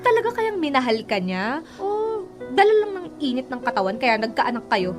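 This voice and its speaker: A Filipino actress playing a bitter, sarcastic young mistress out for revenge in a Tagalog radio drama. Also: female voice, high voice, very animated, moderate pace, over music. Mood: angry, accusing. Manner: sarcastic, taunting.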